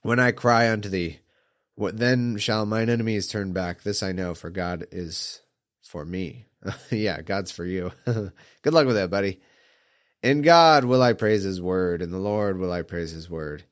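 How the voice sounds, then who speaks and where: Congested man reading scripture, sarcastic. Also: fairly low pitch. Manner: irreverent, mocking, chuckles at the text.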